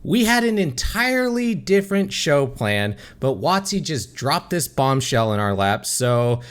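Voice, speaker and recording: A man's voice: medium pitch, sing-song, a little slow, fairly bright tone, clear, resonant tone. A young man hosting a casual gaming podcast, joking and laughing often with his co-hosts. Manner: joking, laughs often, goofy theatrical openings. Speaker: podcast host.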